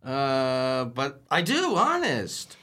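A man's voice: medium pitch, wildly swooping pitch, extremely slow, bright, forceful tone, exaggerated voice. Man acting a stammering, bashful young clerk. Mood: bashful, flustered. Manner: stammering, hesitant, flustered. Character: nervous, bashful, bumbling young male store clerk in love. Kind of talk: old-time radio sitcom acting.

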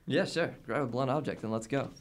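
A man's voice: medium pitch, fairly quick, lightly acted. A man acting in an audio drama as a pedantic, matter-of-fact teenage boy who plans zombie survival. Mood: brisk, instructive. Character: know-it-all teenage boy, self-appointed zombie survival strategist.

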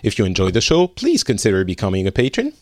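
A man's voice: fairly low pitch, huge pitch swings, quick pace, over music. A man hosting a news round-table podcast, chatty and teasing, laughing often. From France. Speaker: podcast host. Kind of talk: casual round-table chat.

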